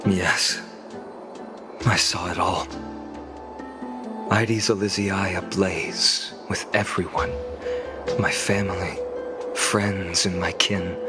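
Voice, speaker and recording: An earnest young man, a fantasy game hero haunted by loss. The voice sits fairly low and takes its time over each phrase, with music underneath. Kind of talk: video game story dialogue. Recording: music behind the voice.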